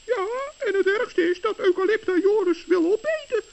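An actor voicing a small, kind-hearted, anxious gnome in a children's radio play, with a squeaky, stammering delivery. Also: moderate pace. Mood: distressed, worried. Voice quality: squeaky, creaky. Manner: stammering, worried. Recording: dull old radio recording.

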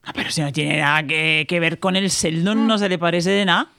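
A woman's voice: on the low side, fairly even intonation, fairly quick, creaky, a bit bright, no room sound, clean studio sound. A woman with a Valencian Spanish accent playing an affectionate, chatty, teasing wife and mother in a slice-of-life radio drama. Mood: eager. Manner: colloquial, fond, exclamatory.

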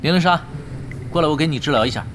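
A man's voice: on the high side, very fast, noisy background, natural voice. A man playing a laid-back, teasing veteran gamer who leads his team in an audio drama. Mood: wry, casual. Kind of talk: Chinese audio drama acting. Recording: loud music bed behind the voice.

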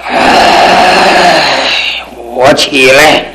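An actor playing a drowsy, gluttonous young bachelor who stammers and mumbles in a radio drama. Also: male voice, on the high side. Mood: eager, bargaining. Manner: stammering, mumbling, slow to wake. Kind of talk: Mandarin radio drama acting. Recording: noisy, hissy old radio broadcast.

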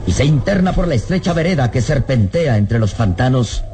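A man's voice: medium pitch, sing-song, a little fast, mellow, a little hiss or hum. A man narrating a scripted radio serial in a suspenseful, declamatory manner. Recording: dramatic music behind old radio serial.

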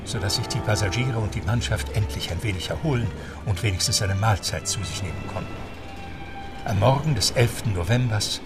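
A man narrating a radio drama in a measured storytelling manner. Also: on the low side, lively intonation, far from the mic. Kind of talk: scripted radio-play narration. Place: studio. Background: music.

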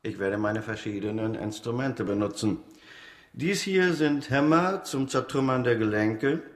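A man playing a proud, matter-of-fact executioner in a radio play, speaking in a measured, formal way that savours grisly detail. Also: mid-range voice, natural voice. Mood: coldly menacing. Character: proud hereditary executioner of old Paris, smugly matter-of-fact. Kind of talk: German radio play acting. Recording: echoey stone-room radio drama sound.

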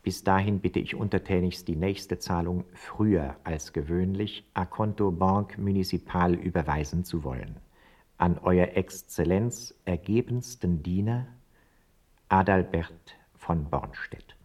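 A man's voice: low voice, unhurried, a little mellow. An actor playing a servile informant who narrates his formal report in ornate for a radio play. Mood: deferential.

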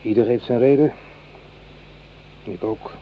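An actor playing a weary, grieving army corporal and widowed farmer in a radio play, plain-spoken and measured. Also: male voice, mid-range voice, lightly acted. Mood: calm, companionable. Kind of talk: Dutch radio play acting. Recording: dull, noisy old radio recording.

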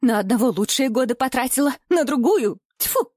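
A woman's voice: medium pitch, animated, a little fast, darkish tone, dry recording, studio quality, lightly acted. Woman playing an embittered, hysterical middle-aged mother. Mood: bitter outburst. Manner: indignant, accusing, exclamatory outbursts.

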